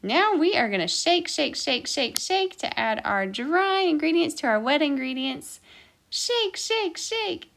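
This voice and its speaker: A woman's voice: high-pitched, lively intonation, unhurried, gravelly, a bit bright. A woman hosting an interactive children's baking storytime, encouraging listeners with sing-song, repeated instructions. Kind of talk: interactive children's baking lesson with counting and singing.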